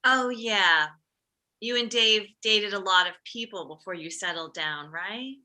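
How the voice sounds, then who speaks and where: A woman's voice: medium pitch, unhurried, sharp tone. A woman playing an anxious, overprotective middle-aged mother with a combative streak, in a comedy script read. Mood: anxious, prying.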